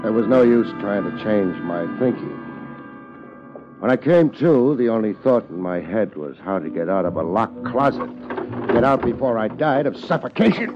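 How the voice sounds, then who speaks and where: An American actor playing a laid-back, wry, tough private detective in 1950s radio drama style, with dry wisecracks. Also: male voice, on the low side, lively intonation, normal speed, over music, close to an everyday voice. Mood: wry, hard-boiled narration. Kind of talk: 1950s radio detective acting, narrating and in dialogue. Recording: dull vintage 1950s radio recording.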